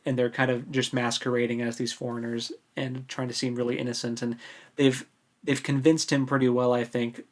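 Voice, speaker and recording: A man's voice: medium pitch, little pitch movement, brisk. A man speaking casually and informally as a podcast co-host in a book discussion, often rephrasing himself as he goes.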